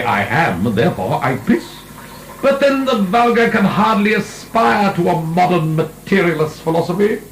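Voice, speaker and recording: An actor playing an imprisoned French marquis in a radio drama, an imperious, mocking, obscene libertine with a theatrical, grandiose delivery. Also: male voice, fairly high pitch, a little hiss or hum. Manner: theatrical, grandiose, chuckling, swinging into shouts. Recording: echoey stone-room radio drama.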